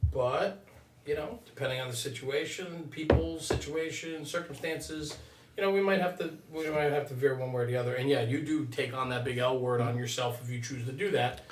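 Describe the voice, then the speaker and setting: A man's voice: mid-range voice, fairly quick. A man co-hosting a casual discussion, speaking conversationally, picked up in a roomy, noisy recording away from the mic. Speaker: co-host. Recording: roomy, distant mic with background noise.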